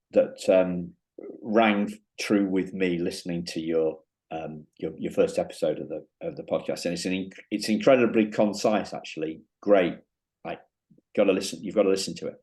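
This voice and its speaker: Deep-voiced hesitant male podcast host. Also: dark tone. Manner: halting, many restarts and fillers. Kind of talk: casual interview chat.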